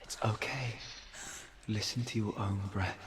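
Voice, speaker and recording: A man playing a calm warrior mentor in a cinematic game. His voice is deep and very unhurried, soft and reassuring as he gives guidance. There is heavy watery noise behind him. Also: natural voice. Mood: calm, guiding. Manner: slow, soothing, reassuring, instructing. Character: calm male warrior companion guiding a frightened young woman. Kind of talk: cinematic video game acting.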